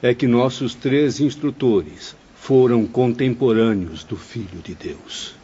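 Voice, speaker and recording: Um homem interpretando um espírito aprendiz reverente e cortês num audiolivro dramatizado, em tom formal. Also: male voice, medium pitch, slow pace, mellow, slightly rough. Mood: awed. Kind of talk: scripted audio-book drama in Portuguese. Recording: dull, muffled audio.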